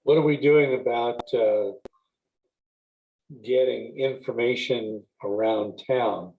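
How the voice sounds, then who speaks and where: A man with a middle-pitched voice speaks haltingly at a board meeting, pausing often. The sound is narrow and tinny, like a phone line. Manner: hesitant, lots of pauses and fillers. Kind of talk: casual meeting discussion.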